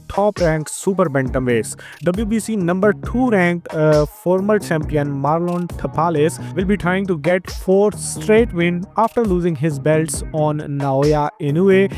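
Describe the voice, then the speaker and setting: A man with an Indian accent narrates sports news as a channel host, reading in a halting way with frequent filled pauses. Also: fairly high pitch, a little mellow. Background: music behind the voice.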